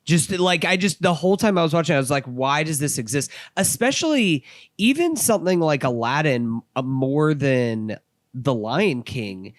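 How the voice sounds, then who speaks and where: A man with a hoarse voice, co-hosting a casual movie review podcast and rambling animatedly. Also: a little high, normal speed, no echo, studio quality. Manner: rambling, animated, laughs often. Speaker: podcast co-host.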